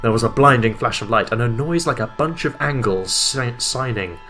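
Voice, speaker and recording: A man reads fan fiction aloud as a comedy host. His voice is bright and animated, mock-dramatic with giggly asides. Music plays softly underneath. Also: mid-range voice, normal speed. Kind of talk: comic dramatic read-aloud with laughing asides.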